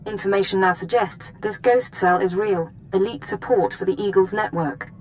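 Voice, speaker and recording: A woman's voice: medium pitch, normal speed, a little mellow, slight background noise, natural voice, poor audio. A woman playing a cool, businesslike intelligence officer who gives a formal, precise mission briefing in a video game.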